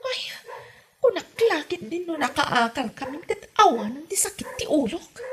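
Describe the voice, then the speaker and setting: A woman playing a stingy, meddling Ilocano mother-in-law in a comedy audio drama, with an Ilocano accent and a husky voice. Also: high voice, very animated, normal speed, a crowd in the background. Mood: complaining.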